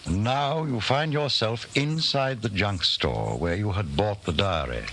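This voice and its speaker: Ominous narrator, low voice, highly animated delivery, admonishing, 1940s radio drama, faint background noise. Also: male voice, a little slow. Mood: warning. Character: grave, ominous male narrator addressing the hero.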